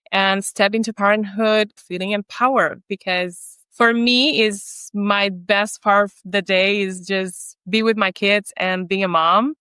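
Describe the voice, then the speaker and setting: A woman's voice: mid-range voice, pushed and bright, smooth, no echo. A woman in her thirties from Puerto Rico, a native Spanish speaker with a Puerto Rican accent, telling her story earnestly as a guest chiropractor in a podcast interview.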